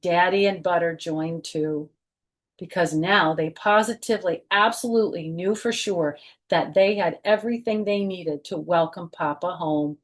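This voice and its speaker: Woman, middle-aged, children's storytime reader, expressive narration, chatty asides. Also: fairly low pitch, moderate pace. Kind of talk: expressive picture-book reading with asides. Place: small furnished room at home.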